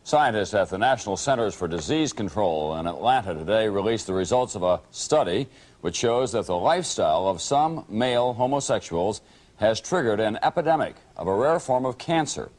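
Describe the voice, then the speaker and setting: A man's voice: lowish voice, lively intonation, normal speed, darkish tone. A man reading scripted television news as an anchor.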